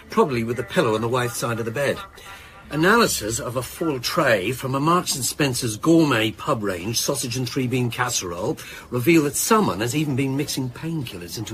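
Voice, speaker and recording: A man's voice: medium pitch, fairly bright tone, slight background noise. A man playing a junior detective in a radio drama, irreverent and eager to please, talking in a slangy, hesitant way. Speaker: actor in an audio drama. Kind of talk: naturalistic radio drama dialogue.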